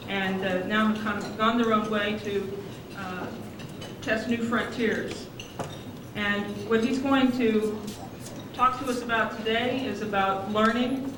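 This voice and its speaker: A woman acting as conference moderator, introducing the next speaker in a plain, measured way with a few fillers, recorded from afar in a large hall. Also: on the high side, noisy background. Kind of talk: formal introduction. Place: conference hall with dining noise. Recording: echoey hall from far off on old videotape.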